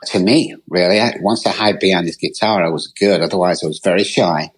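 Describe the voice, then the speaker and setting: A man's voice: deep voice, fairly quick, slightly rough. A middle-aged man with a Manchester accent, a podcast guest telling his life story in an open, rambling, earnest way. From England.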